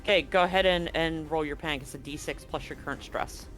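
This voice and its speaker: A man running a tabletop horror game as game master, setting scenes slowly and deliberately, often restarting his sentences. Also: fairly high pitch, music in the background, poor audio.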